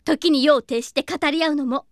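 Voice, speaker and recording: A woman's voice: high-pitched, normal speed, no echo, studio quality. A woman playing a haughty, elegant young lady who gives commands in refined speech in an anime audio drama. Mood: imperious.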